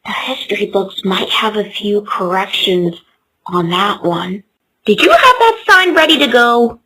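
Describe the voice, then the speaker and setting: A woman voicing an eager, husky pony. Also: medium pitch, lively intonation, unhurried, bright, forceful tone, exaggerated voice, low-quality recording. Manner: earnest, eager. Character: eager, earnest young adult female pony.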